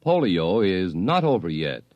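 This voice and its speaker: A man's voice: low voice, very animated, measured pace, dull audio. An American man reading a 1950s radio public service announcement in an earnest, emphatic way.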